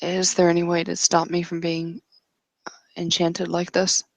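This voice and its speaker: Woman acting out a nervous apologetic woman, halting speech, low creaky voice, tabletop roleplay. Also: monotone, no room sound. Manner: hesitant, halting questions. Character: nervous, apologetic young woman newly enchanted to see Fae.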